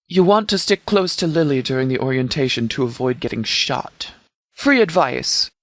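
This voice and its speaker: A man's voice: fairly high pitch, a bit bright, dry recording, lightly acted. Stern, formal sheriff acted by a man, close and dry. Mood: stern, clipped. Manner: formal, measured, commanding. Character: stern, authoritative male sheriff of a wasteland militia. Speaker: video game voice actor. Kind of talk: scripted video game character dialogue.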